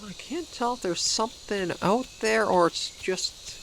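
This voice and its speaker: A man's voice: sits high, measured pace, gravelly, fairly bright tone, a little hiss or hum, poor audio. A man with a Canadian accent who is coming down with a cold, giving casual, rambling gameplay commentary as he thinks aloud. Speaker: gaming video host.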